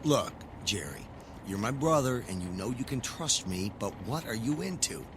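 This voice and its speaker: A man's voice: medium pitch, lively intonation, moderate pace, background noise, lightly acted. An actor playing a skeptical, wisecracking everyman brother, talking in a colloquial, questioning way in a dramatic audio play. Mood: suspicious.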